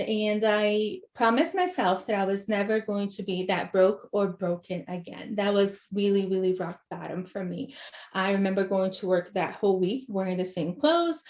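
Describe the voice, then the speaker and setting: A woman's voice: medium pitch, a little mellow. A woman from Brazil with a Brazilian accent, a business coach telling her personal story in an interview, earnest and reflective with many "right?" and "um" fillers. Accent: Brazilian Portuguese. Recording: roomy echo.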